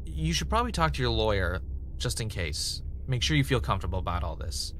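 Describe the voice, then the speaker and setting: A man's voice: lowish voice, sing-song, brisk, a bit bright, a little hiss or hum. A man playing a driven, uneasy podcaster investigating mysterious tapes, earnest and conversational in an audio drama. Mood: flustered. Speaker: podcast host.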